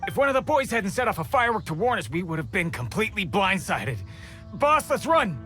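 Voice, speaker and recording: A man's voice: high-pitched, very animated, a little fast, pushed and bright, faint music behind, full character voice. An actor playing a jittery bandit henchman, hesitant and eager to please his boss, in a game cutscene. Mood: panicked.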